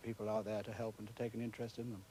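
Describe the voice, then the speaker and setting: Low-voiced British school principal, formal. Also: male voice, level tone, rapid-fire, background noise. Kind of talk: formal interview explanation.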